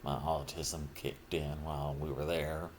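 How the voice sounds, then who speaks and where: Slow, halting low-voiced man. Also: little pitch movement, a little hiss or hum. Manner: halting, broken phrases with trailing pauses. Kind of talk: casual personal monologue, rambling reflection.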